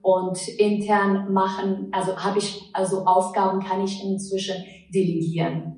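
A woman's voice: mid-range voice, little pitch movement, unhurried, a little mellow, poor audio. A woman, a defense lawyer giving earnest, hesitant interview answers full of fillers. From Afghanistan.

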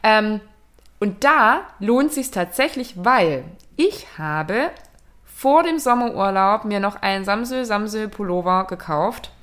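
A woman's voice: mid-range voice, lively intonation, slow, fairly bright tone. A woman co-hosting a fashion podcast, talking casually in a hesitant, rambling way with many fillers. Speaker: podcast co-host. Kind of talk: casual chat.

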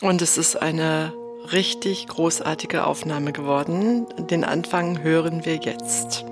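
A woman hosting a podcast in a conversational style, with articulate, deliberately phrased introductions and questions. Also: low-pitched, over music. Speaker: podcast host, orchestra dramaturg.

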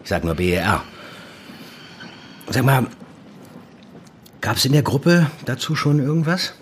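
A brusque handler in an audio drama, grumbling and probing in colloquial speech. His voice sits at a medium male pitch. Faint room noise surrounds him. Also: big pitch swings, measured pace. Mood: conspiratorial, irritated. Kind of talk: naturalistic audio drama dialogue.

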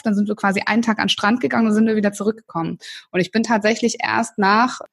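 A woman's voice: medium pitch, breathy edge. A woman from northern Germany, a career coach talking enthusiastically as a podcast guest, with lots of fillers and restarts. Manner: warm, enthusiastic, chatty with many fillers. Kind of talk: casual interview talk.

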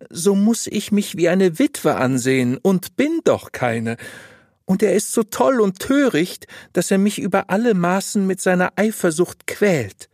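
Male narrator as a pious young wife, raised high pitch, plaintive, naive, formal storybook manner, dry close sound. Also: a little mellow, dry recording, full character voice. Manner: earnest, pleading, old-fashioned formal speech. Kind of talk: audiobook storytelling.

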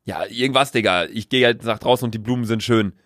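A young man co-hosting a casual podcast, slangy and quick to laugh, rambling through his points. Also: lowish voice, a bit bright, dry recording, studio quality. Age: young adult. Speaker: podcast co-host. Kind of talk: casual banter.

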